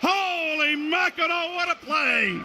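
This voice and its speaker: A man calling hockey live on the radio as play-by-play announcer, in an excitable, clipped running style. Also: very high-pitched, slow, creaky, shouting. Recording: noisy radio broadcast, arena noise.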